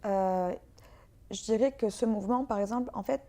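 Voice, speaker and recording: A woman from France answers interview questions in a soft, mellow voice, pausing often as she thinks aloud. Her pitch sits in the middle for a woman. She speaks as a guest author and poet in a relaxed, unscripted conversation. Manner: hesitant, frequent filler pauses.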